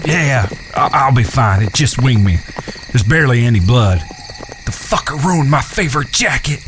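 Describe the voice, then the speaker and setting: A shrewd, wry gunslinger from a Western audio drama, voiced by a man. His folksy speech moves widely in pitch. Crickets and clear ambient noise fill the space behind him. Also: animated, normal speed, lightly acted. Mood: pained, annoyed. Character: wry, shrewd middle brother, Old West gunslinger. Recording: noisy track with cricket-filled outdoor ambience.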